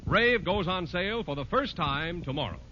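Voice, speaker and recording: A man's voice: high voice, animated, moderate pace, sharp tone. A man reading a polished 1950s radio commercial as an announcer. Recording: muffled, noisy old radio recording.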